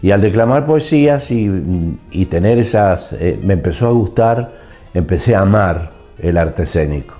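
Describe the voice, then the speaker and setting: An older man with a Mendoza Argentine accent, reminiscing haltingly in an interview as a veteran radio actor. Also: deep voice, lively intonation, mellow, soft background music. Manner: halting, repeats words as he searches.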